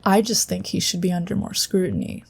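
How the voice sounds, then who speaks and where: A woman's voice: lowish voice, very animated, brisk, gravelly, breathy edge, exaggerated voice. A woman playing an earnest, loyal female psion colleague who speaks directly. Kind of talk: fan audio drama acting.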